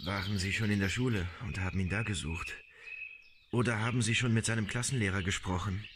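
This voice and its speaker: An actor playing a calm, dry male police officer in a radio play. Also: on the low side, a little fast, close to an everyday voice. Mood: matter-of-fact. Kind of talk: hobby German radio play acting. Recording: clear background noise with birdsong.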